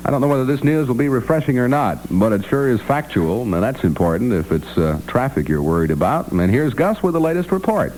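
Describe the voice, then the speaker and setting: A man's voice: medium pitch, sing-song, fairly quick, few pauses, a little mellow, a little hiss or hum, poor audio. A man presenting and reading ads as a 1960s radio announcer, polished and salesmanlike. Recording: vintage radio, faint music.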